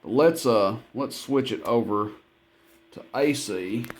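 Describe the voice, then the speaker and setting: A man's voice: lowish voice, takes it slowly, slight background noise. A man giving a casual hands-on equipment demonstration in a plainspoken, folksy, matter-of-fact way. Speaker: CB radio seller demonstrating equipment.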